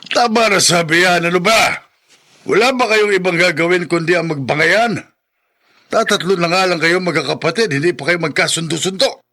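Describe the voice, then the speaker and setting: An actor playing an ailing, stubborn older father who is greedy for food, with a raspy voice, in a Filipino radio drama. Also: sits high, normal speed, bright, forceful tone. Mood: scolding.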